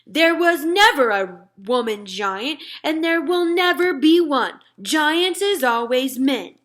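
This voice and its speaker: Grand old storybook giant, acted out by a woman, bright and emphatic, swooping pitch, theatrical, indignant, muddled grammar. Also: expressive, slow pace, exaggerated voice. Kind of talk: children's storybook read-aloud.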